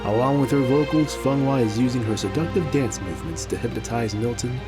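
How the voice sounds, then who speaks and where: A man's voice: medium pitch, fairly quick, loud music behind the voice, natural voice. A man playing a confident fighter with a florid way of talking in an audio drama. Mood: awed. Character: confident fighter ally, reverent commentator.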